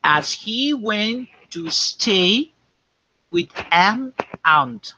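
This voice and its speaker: A man teaching English, dictating slowly and checking often that students understand. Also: high-pitched, animated, sharp tone, a little hiss or hum. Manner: slow and repetitive, checking understanding. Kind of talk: classroom dictation lesson. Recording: dull lo-fi classroom recording.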